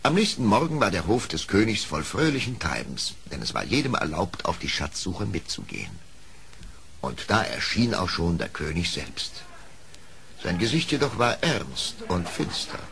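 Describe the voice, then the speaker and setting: A man's voice: low-pitched, very animated, moderate pace, vocal fry, fairly bright tone. A man narrating an audio play as a dramatic storyteller, reading from a script. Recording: faint hiss of old record.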